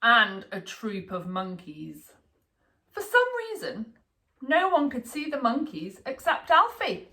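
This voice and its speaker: Female storybook reader: slow, deliberate, expressive, bright-voiced, for young children. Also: a little high. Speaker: storybook reader, school staff. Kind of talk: expressive children's picture book narration.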